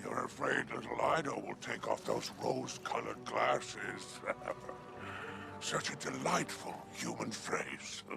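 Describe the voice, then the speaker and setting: A smug, bitter alien crime boss from a video game. The voice is thick with gravel and swoops up and down in a slow, mocking, theatrical drawl. Music sits behind it. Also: male voice, medium pitch, huge pitch swings, full character voice. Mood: smug taunting. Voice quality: gravelly, creaky. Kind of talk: scripted video game dialogue.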